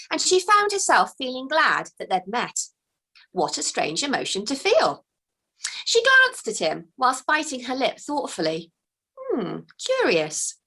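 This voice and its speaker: A woman author reading her children's book aloud with expressive, unhurried storytelling. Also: fairly high pitch.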